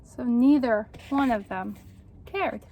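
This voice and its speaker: A woman reading a children's picture book aloud in an expressive, encouraging, teacherly way, pausing to put questions to young listeners. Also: fairly high pitch, slight background noise, poor audio.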